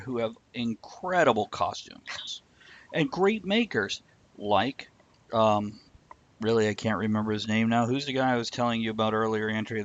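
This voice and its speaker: A man's voice: fairly low pitch, dull audio. A man hosting a live show in a casual, blunt, opinionated way, chatting back to viewers and swearing freely. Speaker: host of a live talk show. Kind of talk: casual chat, answering live viewer comments.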